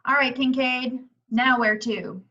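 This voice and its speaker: Woman acting a sassy female news reporter, high forceful voice, pushy, table read, muffled lo-fi audio. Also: steady tone, takes it slowly, exaggerated voice. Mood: sardonic, pushy. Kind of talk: amateur table reading. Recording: dull lo-fi microphone sound.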